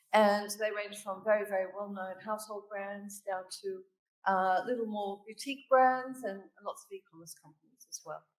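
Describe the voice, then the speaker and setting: A woman from Australia with a mixed Australian and British accent, giving a conference talk and telling stories that she tags with "right?" and "okay?". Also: mid-range voice. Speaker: conference speaker. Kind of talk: keynote presentation. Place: conference hall. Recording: echoey hall, voice from a distance.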